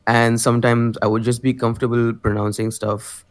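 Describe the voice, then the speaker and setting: Young man, Indian accent, relaxed guest speaker, mid-range voice. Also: medium pitch, fairly quick. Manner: relaxed, laughs easily, loose filler-heavy phrasing. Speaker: guest musician. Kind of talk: casual interview talk.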